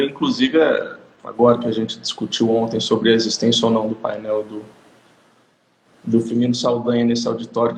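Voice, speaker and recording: A Brazilian man hosting a live stream, talking casually in a measured way and repeating words as he gathers his thoughts. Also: medium pitch, echoey room, slight background noise, low-quality recording.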